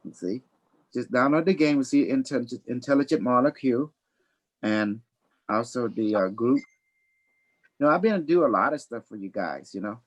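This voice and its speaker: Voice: mid-range voice, lively intonation, moderate pace, long pauses. Boastful psychic reader with rambling speech. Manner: boastful, playful; rambling, non-standard English grammar. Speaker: psychic reader, host of a livestream. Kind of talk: casual improvised psychic reading chat.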